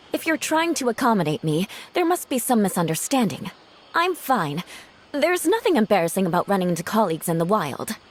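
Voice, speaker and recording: A woman's voice: medium pitch, big pitch swings, normal speed, slight background noise, close to an everyday voice. A woman playing a proud, cool, dutiful noblewoman knight in a video game, with a formal, haughty manner. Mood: irked, defensive. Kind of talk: video game cutscene acting.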